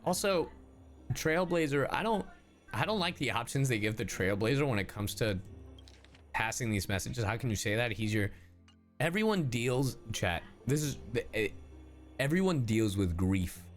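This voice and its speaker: A man's voice: medium pitch, animated, moderate pace, soft background music. A man giving casual, emotional livestream commentary on a game, swearing and repeating himself. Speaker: streamer reacting to a game story.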